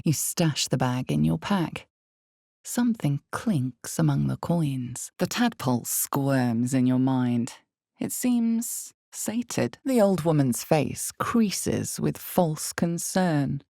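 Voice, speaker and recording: A woman playing an ominous, knowing narrator of a dark fantasy game, deliberate and suspenseful, with dramatic pauses and a breathy edge. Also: low voice, no room sound, studio quality, heavily acted.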